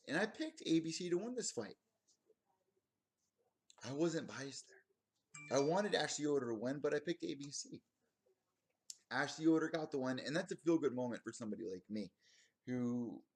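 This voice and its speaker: Rambling, excitable young man. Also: mid-range voice. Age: 20s. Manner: excitable, rambling, full of fillers. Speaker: podcast host. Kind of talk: solo recap podcast talk.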